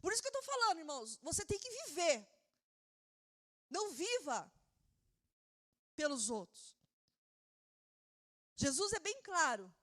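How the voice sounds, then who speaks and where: Woman pastor, non-native speaker, high voice, fervent sermon delivery with loud peaks. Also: big pitch swings, measured pace, long pauses. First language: not Portuguese. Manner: impassioned preaching, building to shouted emphasis; repeats words, stumbles and restarts while preaching.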